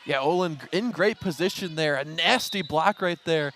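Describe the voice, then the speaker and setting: A man's voice: a little high, moderate pace, fairly bright tone. A man giving color commentary on live sports, with the venue audible behind him.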